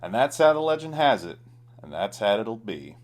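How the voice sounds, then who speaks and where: A man's voice: on the low side, big pitch swings, normal speed. A man narrating a comic Western ballad in a dramatic, rhythmic storytelling manner. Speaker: storyteller, author reading own ballad.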